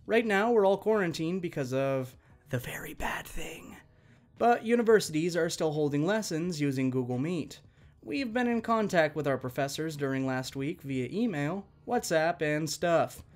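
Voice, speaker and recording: A man working as a channel host, reading online stories aloud in an expressive, conversational way and speaking directly to listeners. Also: high voice. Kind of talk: reading online stories aloud, scripted narration with chatty asides.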